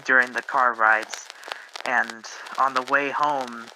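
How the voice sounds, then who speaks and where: A man playing a polite, unsure young radio guest who haltingly recounts his memories in a staged interview. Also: medium pitch, fairly even intonation, lightly acted. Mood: polite, hesitant. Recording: crackly, noisy radio-style recording.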